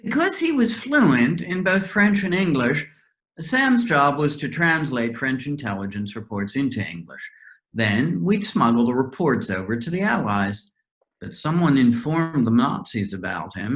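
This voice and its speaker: A droll, talkative fellow in a stage play reading acted by a woman. The voice sits low and moves with lively swings as he spins out his recollections. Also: poor audio. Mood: chatty, reminiscing. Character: talkative man in a box, recovering from amnesia. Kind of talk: virtual readers theater play reading.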